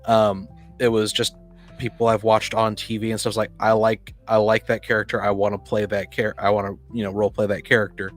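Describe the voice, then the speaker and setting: A man's voice: lowish voice, little pitch movement, fairly quick. Casual man chatting over faint music. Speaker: podcast co-host and game master. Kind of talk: casual discussion of tabletop roleplaying games.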